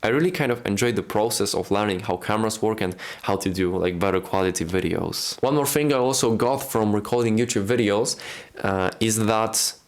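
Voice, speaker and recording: A man's voice: on the low side, brisk. A young man from Poland with a Polish accent, talking to the camera in a casual vlog and filling his sentences with "like" and "you know". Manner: rambling, many fillers like "you know" and "like". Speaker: YouTube creator. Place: indoors at home, speaking to camera. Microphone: camera mic, in front of camera.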